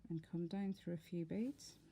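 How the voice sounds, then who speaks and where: Low, creaky-voiced woman giving craft instructions. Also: moderate pace, dark tone, a little hiss or hum. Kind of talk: casual step-by-step demonstration.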